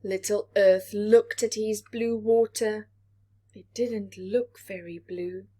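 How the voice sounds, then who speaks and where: A woman reading a story aloud to young children as a storyteller, expressively, pausing to explain and ask questions. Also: medium pitch, husky. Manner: expressive, asks the listeners questions.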